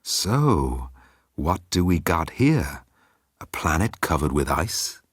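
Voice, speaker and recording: A man's voice: a bass voice, sing-song, slow, slightly rough, no echo, clean studio sound, full character voice. A man voicing a flippant, self-absorbed galactic president with a breezy, chatty manner in an audiobook.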